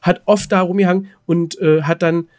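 A man's voice: sits high, fairly even intonation, normal speed, a little mellow. A man in casual podcast chat, rambling and self-deprecating, with coarse slang. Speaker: podcast co-host. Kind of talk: casual chat, recounting his weekend.

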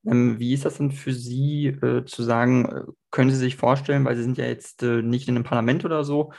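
A man's voice: medium pitch, fairly even intonation, fairly quick, vocal fry, darkish tone. A man interviewing a guest, asking long questions full of hesitations. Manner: hesitant, many fillers.

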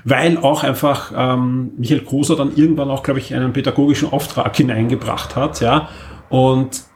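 A man's voice: mid-range voice, steady tone, moderate pace, a little mellow, a little hiss or hum. A man co-hosting a podcast in casual chat, wordy and enthusiastic, piling up emphasis in long monologues. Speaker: podcast co-host.

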